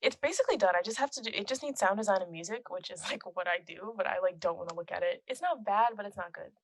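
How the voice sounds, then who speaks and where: A young woman with a soft, quiet voice, chatting as a podcast guest. She laughs often and says "like" a lot. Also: medium pitch, lively intonation, fast, crowd noise. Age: young adult. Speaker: guest, film composer and musician. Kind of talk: casual podcast chat. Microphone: close podcast microphone.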